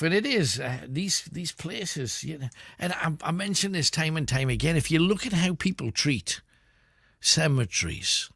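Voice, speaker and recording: This man hosts a late-night phone-in show. His voice carries a gravelly edge and swings up and down in pitch as he chats with callers. The studio sound is close and dry. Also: no echo. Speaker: radio phone-in host. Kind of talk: late-night radio chat. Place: radio studio.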